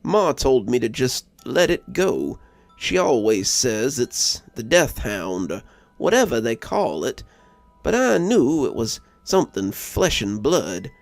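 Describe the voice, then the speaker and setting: A wounded young backwoods survivor, played by a male narrator, tells of a monster attack in an audio horror story. The voice is gravelly and animated, recorded dry over soft music. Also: medium pitch, slow pace, dry recording, heavily acted. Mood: grim, haunted. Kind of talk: first-person storytelling monologue.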